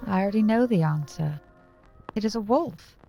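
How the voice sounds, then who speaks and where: A woman playing a fanciful, haunted yet defiant young gentlewoman in a radio play, with a theatrical, genteel delivery. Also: medium pitch, expressive, normal speed, soft background music, natural voice. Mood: haunted certainty.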